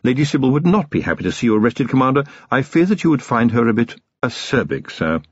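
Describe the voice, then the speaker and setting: Man acting a husky, formal manservant. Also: medium pitch, big pitch swings, quick pace, dry recording, highs cut off, voice pushed up. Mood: coolly threatening. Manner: polite, formal, understated.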